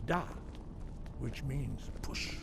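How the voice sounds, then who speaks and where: Give me a slow, solemn old monk master. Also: male voice, medium pitch, lively intonation, gravelly, heavy background noise, full character voice. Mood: solemn, instructive. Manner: grave, measured, formal. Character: solemn elderly monk master of ancient martial voice. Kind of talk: fantasy game dialogue, ceremonial.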